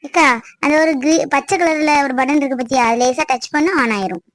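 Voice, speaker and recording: A woman voices a cheery young sister in a family drama, teasing and coaxing in a high voice. Her accent is southern Tamil Nadu, and the sound is dry and close. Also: fairly quick, no room sound, lightly acted.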